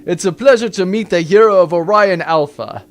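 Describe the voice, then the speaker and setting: A man playing a courteous, self-important gentleman vice admiral with a formal manner in a comic space drama. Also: high voice, moderate pace. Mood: courtly. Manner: formal, genteel. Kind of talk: comic sci-fi audio drama acting. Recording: music behind the voice.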